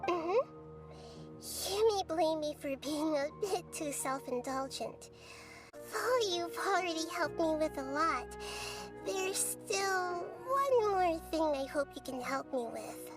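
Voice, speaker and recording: A woman voicing a gentle child deity in a video game, speaking politely and formally with wisdom beyond her years. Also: very high voice, expressive, takes it slowly, over music, full character voice. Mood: gentle, thoughtful. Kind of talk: video game character acting.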